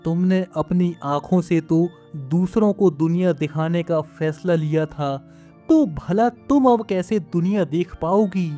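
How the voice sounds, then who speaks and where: A woman voicing a gentle, dignified old village husband and father in a measured, earnest manner, for a moral story. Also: fairly low pitch, lightly acted. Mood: resigned. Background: music.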